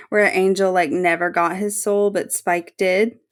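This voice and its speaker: A woman's voice: lowish voice, little pitch movement, slow pace, a bit bright. A woman co-hosting a podcast in casual chat, often chuckling as she talks. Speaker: podcast co-host.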